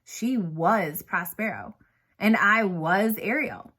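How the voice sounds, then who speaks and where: A woman narrating a novel aloud in an expressive storytelling manner, putting emphasis on key words. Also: mid-range voice, takes it slowly, breathy edge.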